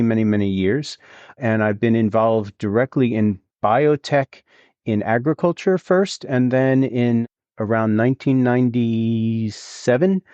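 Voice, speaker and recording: A middle-aged man with a Swedish accent, a guest in a conversational interview, explaining in a measured way with some fillers. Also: medium pitch, darkish tone, no room sound. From Sweden. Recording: dull, slightly lo-fi sound.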